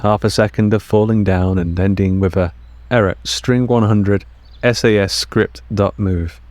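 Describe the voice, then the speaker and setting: A man narrating scripted audio fiction in a measured, deliberate storytelling manner with short dramatic phrases. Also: on the low side, fairly even intonation, a little mellow, no echo. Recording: music under the narration.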